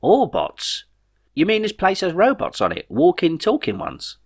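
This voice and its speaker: A man's voice: high voice, wildly swooping pitch, unhurried, slightly rough, pitched above the performer's own voice, heavily acted. A man voicing a bewildered, reluctant ordinary bloke in space, doubtful and questioning, for an audiobook. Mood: wary. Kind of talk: audiobook character dialogue.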